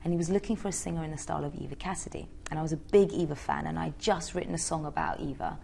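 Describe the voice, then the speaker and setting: Low, gravelly-voiced young woman. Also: very fast, darkish tone, a little hiss or hum. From Georgia. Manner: chatty, hesitant with many fillers. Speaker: interviewee, singer. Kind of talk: casual interview answers.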